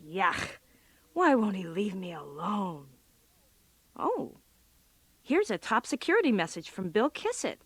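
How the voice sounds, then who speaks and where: A woman's voice: medium pitch, big pitch swings, unhurried, pauses often, husky, no room sound, full character voice. An actress playing a sardonic, exasperated female office computer worker in a comic radio drama, given to wry muttered asides.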